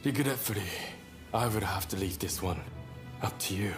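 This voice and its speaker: A subdued, courteous samurai in a game drama, acted by a man. He sounds unwell, with a lowish, soft, formal voice under noticeable music. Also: normal speed, natural voice. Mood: weary regret. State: unwell, weak. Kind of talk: video game cutscene acting. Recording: loud game music behind the voice.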